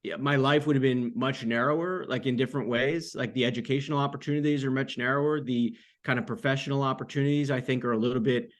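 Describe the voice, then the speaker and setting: A man in his thirties speaks as a guest in a casual interview. His voice sits at a middle pitch, and he talks in a loose, informal way, circling through asides and often saying "like". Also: steady tone, quick pace, few pauses. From United States, born in France. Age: 30s. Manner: rambling, informal, frequent "like" asides. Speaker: podcast guest, author.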